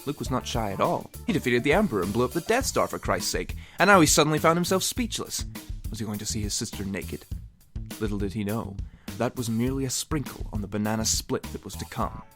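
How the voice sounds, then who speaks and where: A man's voice: medium pitch, very animated, quick pace, a bit bright. A man narrating comedic fan fiction in a mock-serious, theatrical way with wry asides. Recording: music behind the voice. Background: music.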